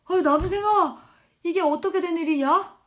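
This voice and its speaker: A woman voicing a kind, concerned man in a children's storybook, speaking loudly and urgently. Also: very high-pitched, normal speed, voice pushed up. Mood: alarmed. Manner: loud, urgent, exclamatory. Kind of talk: children's storybook character acting.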